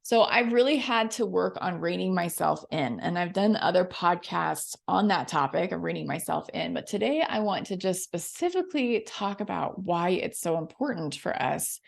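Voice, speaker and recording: A woman's voice: fairly low pitch, lively intonation, normal speed, gravelly, few pauses. A middle-aged American woman hosting a chatty solo podcast, friendly and informal, with a scratchy, froggy voice from laryngitis. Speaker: podcast host and coach.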